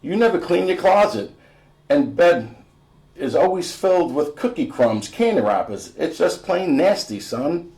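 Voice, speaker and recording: A man's voice: fairly high pitch, big pitch swings, unhurried, darkish tone, pitched above the performer's own voice. Affectionate, teasing American mother played by a man, emphatic storybook lines in a raised register. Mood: teasing scolding.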